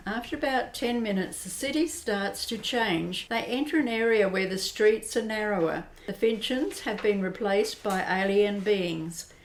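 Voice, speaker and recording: A woman narrating an amateur radio drama in a steady, descriptive way. Her voice sits at a middle pitch. The recording has a roomy echo around it. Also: unhurried, hardly any pauses.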